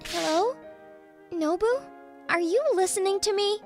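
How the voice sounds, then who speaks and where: Woman acting very high-pitched bossy anime office boss. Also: measured pace, faint music behind. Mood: drunk, pleading. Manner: commanding, needling. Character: bossy, teasing young female boss and jilted ex. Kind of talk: anime-style manga dub acting.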